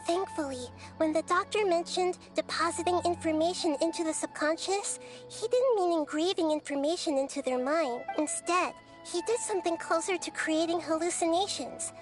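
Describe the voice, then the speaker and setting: A woman playing a small childlike goddess in a video game, gentle, composed and articulate, explaining things in measured phrases. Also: very high voice, over music. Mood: calm explaining. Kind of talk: video game character acting.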